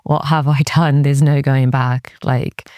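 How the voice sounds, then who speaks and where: A woman's voice: a bass voice, little pitch movement, slow, darkish tone, dry recording, clean studio sound. A woman from Cardiff, Wales, speaking as an interview guest in a candid, serious, reflective conversational way, often quoting her own thoughts. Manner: candid, serious, peppered with "like" and quoted inner thoughts. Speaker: interview guest, music industry executive.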